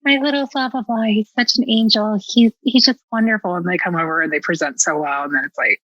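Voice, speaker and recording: A woman's voice: medium pitch, fast, fairly bright tone, no room sound, muffled recording. A middle-aged American woman telling personal stories as a podcast guest, casual and candid, with a sarcastic, self-mocking streak and a habit of acting out dialogue.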